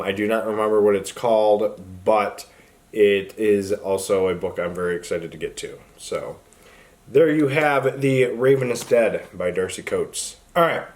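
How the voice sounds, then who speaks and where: A man talking casually and informally to viewers as the host of a book review vlog. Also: lowish voice.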